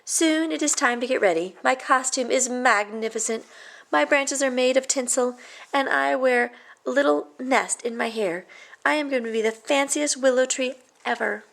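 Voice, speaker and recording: A woman reading a children's picture book aloud in an expressive, animated storytelling way. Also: high voice, moderate pace, gravelly. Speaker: storybook reader.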